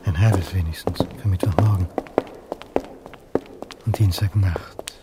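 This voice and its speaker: An actor playing a brooding middle-aged historian in custody in a crime radio play, husky and introspective, murmuring to himself. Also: male voice, sits low, steady tone, a little slow, heavy background noise. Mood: anxious, brooding. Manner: introspective, murmured monologue dropping to a whisper.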